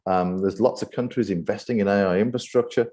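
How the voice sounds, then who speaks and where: A British man with a low voice presents to an audience as the event's host. His tone is mellow and relaxed. He speaks off the cuff, stalling now and then on repeated words before pushing on. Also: brisk. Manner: hesitant, often repeating words before going on. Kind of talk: unscripted presentation talk.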